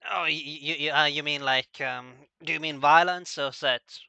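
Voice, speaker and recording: A man hosting a casual, unscripted interview, halting and stammering as he feels out each question. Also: a little high, creaky, sharp tone, clean tone, dry recording. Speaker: interviewer, host.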